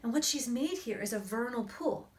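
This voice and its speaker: A woman in her forties, an author reading and explaining things to children in an expressive, emphatic storytelling manner. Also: on the high side, normal speed. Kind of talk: expressive picture-book read-aloud and explanation to children. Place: small indoor room against a plain wall.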